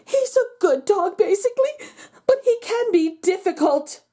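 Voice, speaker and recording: A fretful storybook lady who owns a dog speaks in a very high, lilting voice, put on by a woman reader. She sounds upset yet fond. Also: animated, normal speed, dark tone. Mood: worried, upset.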